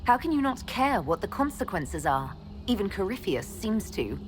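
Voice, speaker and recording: Animated, probing female leader played by an actress. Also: medium pitch, normal speed, faint music behind, lightly acted. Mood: concerned, doubtful. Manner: direct, probing, serious. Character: female heroic leader, skeptical, direct, questioning. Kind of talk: dramatic scripted game dialogue.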